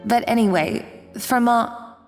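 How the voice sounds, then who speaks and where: A woman playing a gentle, composed, perceptive character in a video game, calm and considerate. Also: on the high side, slow, big-room sound, faint music behind. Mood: calm, sympathetic. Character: gentle, composed female observer, perceptive and apologetic.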